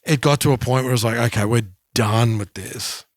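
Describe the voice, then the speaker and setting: A man's voice: medium pitch, a little fast, no room sound, studio quality. A man co-hosting a podcast, talking casually and sharing personal anecdotes. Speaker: podcast co-host.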